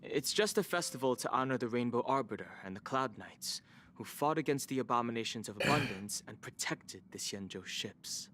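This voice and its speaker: A man voicing a reserved, matter-of-fact young man in a video game, with a deadpan delivery. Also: medium pitch, normal speed. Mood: reassuring, matter-of-fact. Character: cool, reserved young man, dryly factual.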